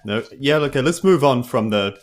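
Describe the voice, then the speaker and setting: A man's voice: medium pitch, animated, normal speed, faint music behind, close to an everyday voice. A man voicing a laid-back, curious adventurer in a tabletop game, casual and blunt.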